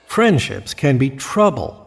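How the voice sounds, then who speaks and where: A man's voice: mid-range voice, sing-song, slow pace, no echo, full character voice. An actor playing an earnest, guarded dead man in Hell, in audio drama dialogue and first-person narration. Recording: clear background noise.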